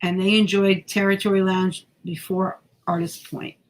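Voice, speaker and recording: A woman's voice: medium pitch, level tone, moderate pace. A woman co-hosting a casual podcast, talking in an everyday mid-range voice. She is chatty and self-deprecating, and she sometimes stumbles over a word before pressing on. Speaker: podcast co-host. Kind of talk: casual chat.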